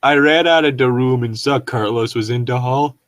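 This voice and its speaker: A man's voice: mid-range voice, normal speed. A young man reading aloud for comedy as a co-host, adding casual, incredulous commentary. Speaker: co-host and reader.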